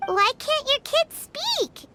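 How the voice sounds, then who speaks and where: Excitable little fairy sidekick for an anime game; extremely high, childlike voice, blunt delivery. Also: female voice, slow pace, over loud music, heavily acted. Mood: puzzled. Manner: refers to self in third person, exclaiming. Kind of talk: anime-style video game voice acting.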